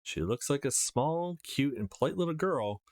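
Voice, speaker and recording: A man's voice: medium pitch, animated, normal speed, darkish tone. A man hosting a let's play, casually reading visual novel text aloud and adding his own asides.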